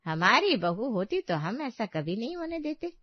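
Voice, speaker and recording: A woman's voice: a little high, very animated, moderate pace, dry recording, lo-fi sound. A woman playing a meddling, disapproving middle-aged aunt in a family story, pointed and mock-sympathetic in manner. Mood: taunting. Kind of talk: acted dialogue in a Hindi family story.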